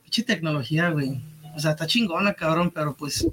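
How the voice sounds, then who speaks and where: Podcast co-host: Mexican man with a slightly high-pitched voice, loose street-slang conversational delivery. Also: fairly quick, a bit bright. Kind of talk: casual podcast chat.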